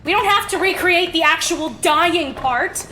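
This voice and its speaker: A woman playing a defiant, quick-witted young woman in an audio drama, emphatic and taunting. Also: extremely high pitch, very noisy. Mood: alarmed, protesting.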